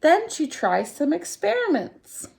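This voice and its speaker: High, animated woman reading a storybook. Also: creaky. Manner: theatrical, drawn-out emphasis on key words. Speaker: storytime reader at a dance centre. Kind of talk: expressive children's picture book read-aloud.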